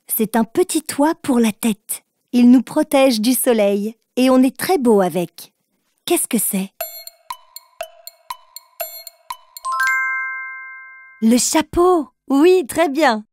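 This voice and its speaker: A woman's voice: sits high, darkish tone, no echo, studio quality. A woman voicing a kind, encouraging grown-up who leads a riddle game for little children in a cartoon, speaking in simple phrases. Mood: enthusiastic, encouraging.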